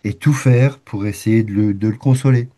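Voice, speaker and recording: Man with a cold, low and mellow, reflective and hesitant. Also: normal speed. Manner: hesitant, with restarts. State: has a head cold. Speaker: participant reader. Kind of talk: casual reflection in a prayer group.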